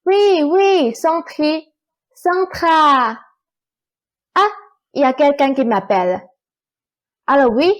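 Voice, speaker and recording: A woman's voice: high voice, lively intonation, slow, pauses often, muffled recording. A woman playing a lazy, teasing young stepsister, falsely sweet and bullying, in a student radio play. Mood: mocking. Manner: teasing, offhand.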